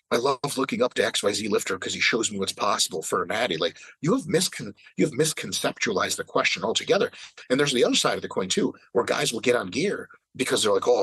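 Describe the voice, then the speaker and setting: Husky thirty-something guy ranting on a podcast, swears a lot, pitch jumps all over, dry close sound. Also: no room sound. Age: 30s. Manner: animated, profane, emphatic ranting. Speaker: fitness podcast regular. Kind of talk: casual podcast chat.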